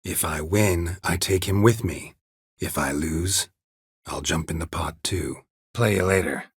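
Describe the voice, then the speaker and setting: A man voicing a gruff, stoic, world-weary monster hunter in a video game, speaking tersely in a husky rasp with dry, deadpan understatement. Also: low voice, measured pace, dry recording, heavily acted. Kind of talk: video game character dialogue.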